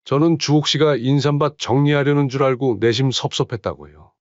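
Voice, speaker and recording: A man's voice: mid-range voice, brisk, no room sound, muffled recording, natural voice. A man voicing a middle-aged village head who earnestly warns a neighbor. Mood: concerned.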